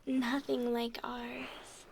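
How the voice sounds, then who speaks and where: A gentle young mermaid played by a woman coos in a soft, breathy ASMR whisper. Her voice sits fairly high and drifts into creaky fry. She speaks very slowly, doting and soothing. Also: level tone, noisy background, heavily acted. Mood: fascinated, adoring. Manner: slow, soothing, cooing whisper and soft speech.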